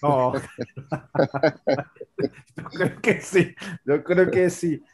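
A man's voice: on the high side, drawn-out words, a little mellow, husky. A man hosting a casual radio interview, friendly and rambling, calling his guest "brother". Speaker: radio host.

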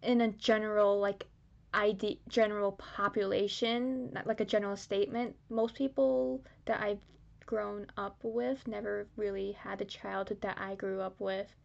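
A woman's voice: mid-range voice, steady tone, a little slow, vocal fry, darkish tone, lo-fi sound. A young woman in a casual podcast chat, hesitant and correcting herself as she goes. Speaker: podcast co-host.

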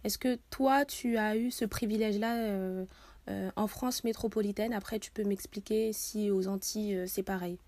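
A woman's voice: mid-range voice, steady tone, moderate pace, darkish tone, no echo. A young woman hosting a podcast interview, asking long, earnest questions with frequent fillers. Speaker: podcast host.

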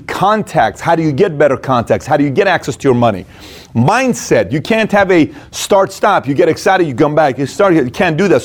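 A man's voice: on the high side, darkish tone. A man speaking as a motivational business coach, conversational yet emphatic, using punchy short phrases and rhetorical questions.

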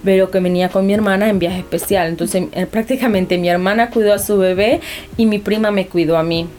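A woman's voice: medium pitch, steady tone, a little fast, a little mellow, a little hiss or hum. A young woman, speaking earnestly as an interview guest and often restarting her sentences. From Honduras. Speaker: anonymous guest. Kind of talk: personal interview.

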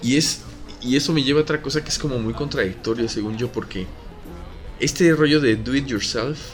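Mexican male co-host, casual slangy podcast banter, mid-pitched voice, music bed behind. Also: fairly quick. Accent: Mexican Spanish. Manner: slangy, joking, rambling with restarts. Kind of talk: casual chat.

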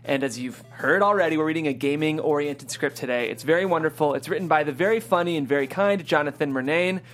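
A man with a somewhat high-set voice hosts a table read. He moves between presenting the show and narrating stage directions from a script. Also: fast talker, a bit bright, soft background music.

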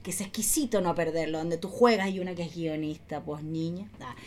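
Casting: Chilean woman podcast host, rapid talker, informal, tells stories with acted-out dialogue. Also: on the low side, a loud crowd. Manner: animated storytelling with acted-out quotes. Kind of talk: casual chat.

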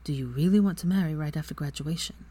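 A woman voicing a tender, brooding young wizard in a low, quiet, hushed voice. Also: fast talker, husky. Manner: quiet, hushed, near whisper.

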